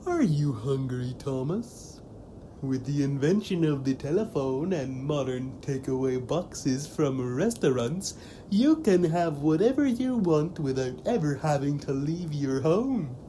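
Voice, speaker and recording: A gleefully evil pumpkin-headed demon played by a woman gloats through a comedy audio drama. The voice is low and dark, drawn out slowly with theatrical menace, as if savouring every wicked plan. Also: animated, a little hiss or hum. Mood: gloating, taunting. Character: gleefully sinister pumpkin-headed interdimensional maniac, male demon villain.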